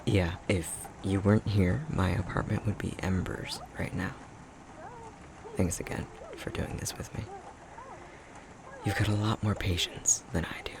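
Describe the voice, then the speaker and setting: A man playing an affectionate, self-deprecating young fox-shifter boyfriend in an intimate ASMR roleplay, speaking softly and hesitantly, often half-whispered. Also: deep voice, a little slow, vocal fry, long pauses, noisy background. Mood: gentle, drowsy.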